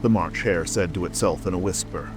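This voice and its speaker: A man's voice: sits low, brisk. A man reading scripted story narration as an audiobook narrator. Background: music.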